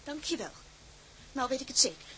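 Young female spitfire for radio drama: rapid-fire, high voice, combative, suspicious, ambient noise behind her. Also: very animated, heavily acted, low-quality recording. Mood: furious. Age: young adult. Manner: combative, accusing, sharp-tongued. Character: fierce, suspicious young woman, defiant captain's daughter. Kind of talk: Dutch radio drama acting.

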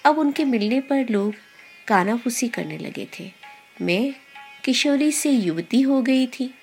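A woman podcast host reading a short story in a measured, deliberate storyteller's delivery. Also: a little high, animated, vocal fry, a little mellow. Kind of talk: scripted storytelling narration. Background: background music.

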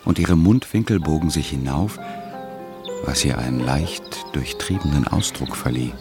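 An actor as a measured literary narrator, giving scripted narration of a period tale in a gravelly voice. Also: male voice, extremely low pitch, music in the background. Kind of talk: scripted narration in a radio play.

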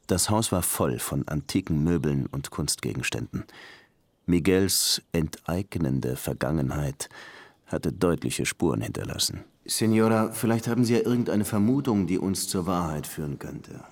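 Low-voiced, world-weary detective. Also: male voice, moderate pace, darkish tone, no room sound. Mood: probing. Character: dry, world-weary male police lieutenant narrating his case. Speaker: narrator and police detective. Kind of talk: first-person crime radio drama narration and dialogue.

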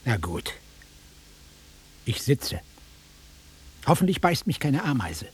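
A worldly, impatient travelling salesman in middle age in a radio play. His voice is heavily rasped and medium in pitch, moving freely up and down as he talks in an offhand, grumbling way. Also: very animated, takes it slowly, heavily acted. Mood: impatient, annoyed. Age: middle-aged. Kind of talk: naturalistic radio drama acting.

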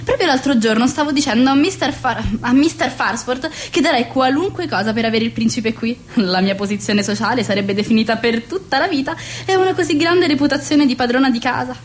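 A woman's voice: high-pitched, fairly quick, hardly any pauses, slight background noise, lightly acted. An actress playing a fussy, bossy, social-climbing society mother in a radio play, with a theatrical, gushing manner. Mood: imperious, exasperated.

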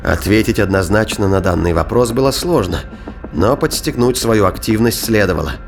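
Male audio drama narrator over soft music. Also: on the low side, lively intonation, moderate pace. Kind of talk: scripted narration of a science-fiction thriller audio drama.

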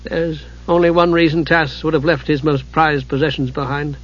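A man's voice: on the high side, normal speed, a bit bright, slightly rough, dull audio. A man voicing an anxious, earnest young mage who speaks with uncertainty in a fantasy audiobook. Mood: worried.

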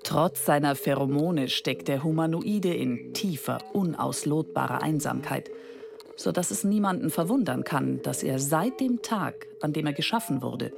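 A woman's voice: sits low, animated, normal speed, gravelly, over music. A woman playing a wry, aloof female machine who muses on humanoids in a radio drama. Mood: wry, detached. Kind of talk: scripted radio drama monologue.

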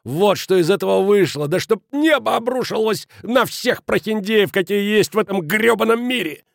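A man voicing an enraged, blustering man who feels cheated, ranting in abrupt shouted phrases in an audiobook. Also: sits high, animated, moderate pace, gravelly, no room sound, studio quality, pitched above the performer's own voice. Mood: roaring outrage.

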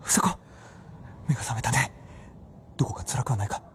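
An actor playing an anguished, devoted scientist husband in a radio drama, pleading in formal old-fashioned speech. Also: male voice, medium pitch, moderate pace, fairly bright tone, a little hiss or hum, lightly acted. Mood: anguished, tender.

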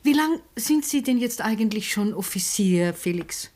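An actress playing a lively, teasing woman in a 1960s German radio play, breezy and expressive. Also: mid-range voice, normal speed, lightly acted. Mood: breezy, curious.

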